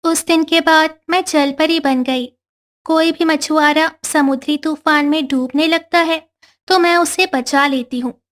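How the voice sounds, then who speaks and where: A woman voicing a gentle, sorrowful young mermaid girl telling her own story in a cartoon. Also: sits high, steady tone, a little slow. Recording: faint background music.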